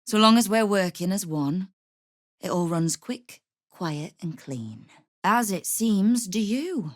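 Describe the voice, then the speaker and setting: A woman's voice: medium pitch, animated, takes it slowly, no room sound, studio quality. An actress playing a shrewd, tough female crime boss in a video game, speaking with wry, controlled menace. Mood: coolly menacing. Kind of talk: video game character dialogue.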